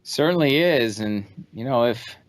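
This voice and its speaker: A man's voice: mid-range voice, expressive, measured pace, no echo. A man in his thirties co-hosting a podcast in casual, conversational political commentary. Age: 30s. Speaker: podcast co-host.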